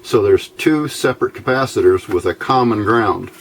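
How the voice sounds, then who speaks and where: A man giving an informal hands-on electronics tutorial, talking haltingly as he thinks aloud. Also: lowish voice, slight background noise. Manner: halting, thinking aloud while handling parts. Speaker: electronics hobbyist presenter.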